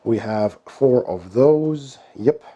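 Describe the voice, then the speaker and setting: A hoarse man with a cold hosts an unboxing in a casual, unhurried way. He talks in a low-key manner with many pauses. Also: expressive, warm tone, lo-fi sound. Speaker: board game channel host.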